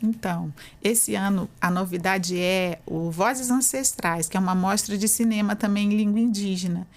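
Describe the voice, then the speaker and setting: A Brazilian woman professor talks through her answers in a relaxed, explanatory way. She speaks at a middle pitch and often tags her sentences with small confirming fillers. Her tone is conversational and unhurried. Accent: Brazilian Portuguese. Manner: explanatory, uses many fillers like "né". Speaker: university professor, guest.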